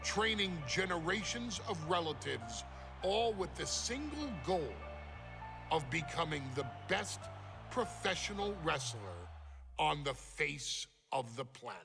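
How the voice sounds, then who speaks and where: Slow, grandiose male narrator over music. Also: fairly high pitch, big pitch swings, creaky. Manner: grandiose, reverent, emphatic pauses. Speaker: narrator and presenter of a wrestling showcase. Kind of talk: dramatic scripted narration. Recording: music under the voice.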